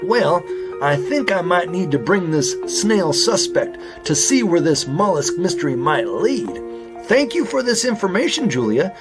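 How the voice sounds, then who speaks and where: A man's voice: high-pitched, measured pace, loud music behind the voice. A man acting an earnest, folksy raccoon sheriff detective in a children's audio drama. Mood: reassuring, inquisitive. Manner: animated, folksy, wordplay-loving.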